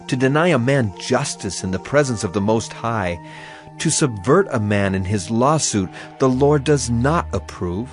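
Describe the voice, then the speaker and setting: An actor playing a grieving, afflicted prophet in a dramatized scripture reading, with solemn, emphatic and deliberate phrasing. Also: male voice, on the low side. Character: grieving, afflicted male prophet lamenting and praying.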